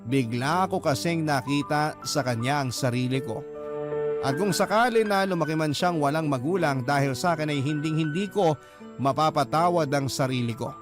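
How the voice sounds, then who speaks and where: A man's voice: fairly high pitch, moderate pace. A man reading a scripted first-person letter as the narrator of a radio drama. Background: clear background music.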